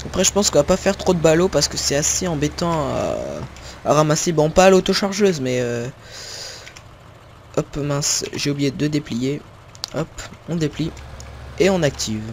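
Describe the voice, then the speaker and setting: A young man from northern France chats through his gameplay, circling back, correcting himself and filling pauses as he goes. His voice sits a little higher than most men's. Faint tractor and farm sounds from the game hum underneath. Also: darkish tone. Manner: rambling, many fillers and self-corrections, talks to viewers while playing. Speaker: gaming video creator. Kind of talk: casual gameplay commentary. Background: faint game sounds of tractors and farm ambience.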